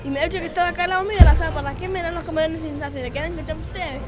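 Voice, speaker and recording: A woman's voice: very high-pitched, fairly even intonation, very fast. A woman playing a haughty, demanding princess who complains in an improvised comedy sketch. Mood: indignant. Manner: indignant, demanding. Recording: music and crowd noise behind.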